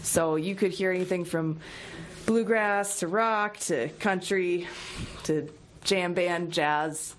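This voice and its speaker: A woman's voice: fairly low pitch, takes it slowly. A woman, a singer-songwriter guest, chatting casually in a radio interview. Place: radio studio.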